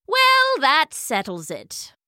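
Woman reading as an old boss hornet in a kids story, patient but in charge, lively swings in pitch. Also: takes it slowly, dry recording, studio quality, full character voice. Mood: patient, questioning. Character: old male hornet judge, patient, commanding peacemaker.

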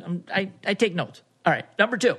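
A man co-hosting a podcast, playfully quizzing a guest in a joking, halting way. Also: high voice, animated, bright, forceful tone, husky. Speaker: podcast co-host. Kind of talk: casual chat, playful quizzing.

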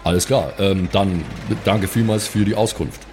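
A man playing a tabletop role-playing game, improvising in casual, halting, informal chat. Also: low voice, darkish tone, slight background noise.

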